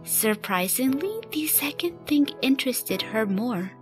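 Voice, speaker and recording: A woman's voice: on the high side, expressive, measured pace. A woman reading scripted story narration as the narrator of a fan radio play. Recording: faint music behind. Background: faint background music.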